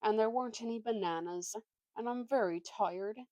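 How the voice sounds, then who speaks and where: A woman voicing a gentle, easily persuaded male donkey in a storybook, sounding weary, plaintive and apologetic. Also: a little high, moderate pace, no room sound, lightly acted. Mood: tired.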